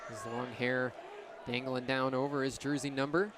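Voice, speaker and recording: Young guy doing live baseball radio play-by-play, clipped calls, loud crowd and ballpark noise behind him. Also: medium pitch, little pitch movement, moderate pace. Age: young adult. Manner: clipped phrases, descriptive calls. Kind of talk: live sports commentary. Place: outdoor baseball stadium. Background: crowd and ambient noise.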